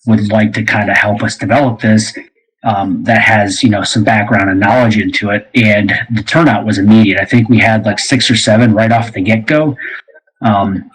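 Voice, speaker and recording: A man's voice: on the low side, steady tone, moderate pace, husky, poor audio. A man speaking casually as a host, rambling along with plenty of you-knows. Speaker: host and game creator. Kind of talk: casual conversational interview.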